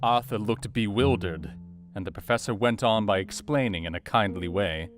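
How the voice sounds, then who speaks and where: An actor playing a grave young doctor, narrating his account in a measured, sombre manner for an audio drama. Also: on the low side, lightly acted. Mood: intent. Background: soft guitar music.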